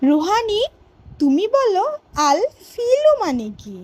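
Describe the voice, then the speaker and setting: A woman voicing a cartoon schoolteacher who teaches vocabulary to young pupils in a deliberate, word-by-word way. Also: very high voice, big pitch swings, exaggerated voice. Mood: questioning. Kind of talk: educational cartoon vocabulary lesson.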